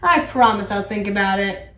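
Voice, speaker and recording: Woman as brisk, kindly lady mayor. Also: medium pitch, expressive, bright, forceful tone, roomy sound, pitched below the performer's own voice. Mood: considering. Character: kindly, brisk female town mayor in storybook.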